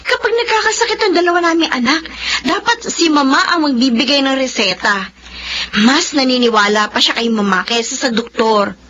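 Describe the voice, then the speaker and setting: A woman's voice: high voice, lively intonation, moderate pace, pushed and bright, highs cut off, slight background noise. A woman playing a frustrated young wife. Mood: weary. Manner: earnest, complaining.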